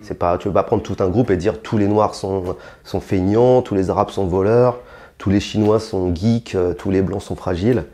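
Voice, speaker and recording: A man's voice: normal speed, dark tone. A young French man answering interview questions bluntly and provocatively, with many restarts and fillers. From France. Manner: rises in pitch and force when heated. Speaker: interviewed rapper.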